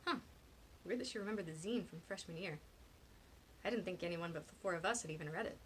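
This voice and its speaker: A woman's voice: on the low side, quick pace, a little hiss or hum, close to an everyday voice, low-quality recording. A woman voicing a smug, sardonic teenage boy with a sarcastic manner in an audiobook. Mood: surprised. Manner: muttering, sarcastic.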